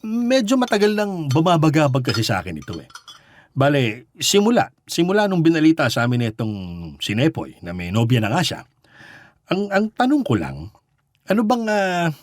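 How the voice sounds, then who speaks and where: Animated, curious Filipino dad, swooping voice. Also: male voice, a little high, no echo. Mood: jovial, curious. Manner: halting, repetitive, animated teasing. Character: curious, chatty, playful father meeting son's girlfriend. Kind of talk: Filipino radio drama acting.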